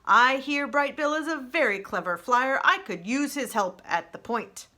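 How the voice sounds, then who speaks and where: Lady doing a big confident storybook goose, loud, higher pitch, kind and bright. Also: lively intonation, normal speed. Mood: confident, encouraging. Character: big booming goose leader, confident and kindly.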